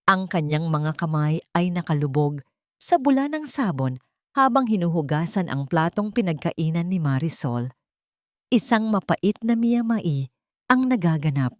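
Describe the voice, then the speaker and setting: A woman's voice: fairly low pitch, darkish tone, no room sound, studio quality. A woman storyteller reading a scripted romantic drama in a solemn, emotive way.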